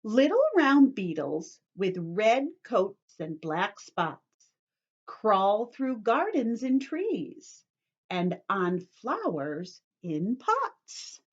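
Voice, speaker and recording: A woman's voice: medium pitch, big pitch swings, drawn-out words. A woman teacher reading aloud to young children, explaining things simply and putting questions to them as she goes, in slightly dull lo-fi sound. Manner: instructive, addresses children with questions and simple repetition.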